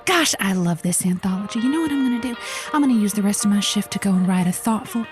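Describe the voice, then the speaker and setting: A woman's voice: medium pitch, lively intonation, fast talker, over music, natural voice. A woman playing an anxious, sarcastic librarian who mutters to herself in a comic audio drama. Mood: absorbed, chatty. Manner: talks to herself.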